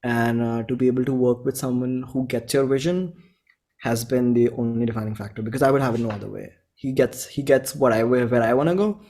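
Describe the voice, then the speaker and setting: A man's voice: mid-range voice, a little fast. A young man from India with an Indian accent talks casually as a musician being interviewed. He slips into slang and acts out little exchanges as he goes. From Bhopal, India. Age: 20s. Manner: animated retelling with quoted exchanges, slangy. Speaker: interview guest, singer-songwriter. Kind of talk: casual chat.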